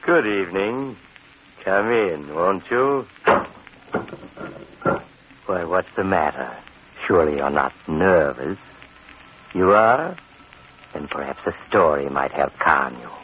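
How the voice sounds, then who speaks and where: An actor playing a suave, sinister doctor who hosts eerie tales with ominous, mock-courteous theatrical narration in 1940s radio style. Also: male voice, sits low, expressive, takes it slowly, pauses often. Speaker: host and narrator. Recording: muffled, noisy, crackly 1945 radio recording.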